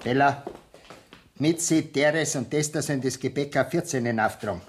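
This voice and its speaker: An actor playing a gruff, distrustful lodging-house keeper with a Bavarian accent and a dry, curt manner in a 1970s radio play. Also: male voice, mid-range voice, moderate pace, slight background noise, close to an everyday voice. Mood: ordering.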